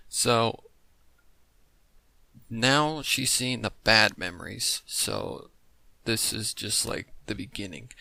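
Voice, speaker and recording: Guy w low crackly voice, bright n dry sound, rambling informal reaction commentary. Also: creaky, no echo. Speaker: host of a reaction video.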